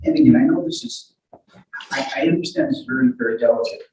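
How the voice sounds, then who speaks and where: A man's voice: mid-range voice, fast, background noise. A man cross-examining a witness at a court hearing, careful but persistent, often repeating the start of his questions. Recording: very echoey room, distant mic.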